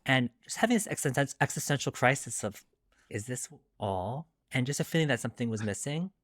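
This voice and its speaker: A man's voice: medium pitch, expressive, brisk, a bit bright, no echo, clean studio sound. A man in his late forties answering interview questions conversationally as a debut novelist, reflective and a little self-deprecating.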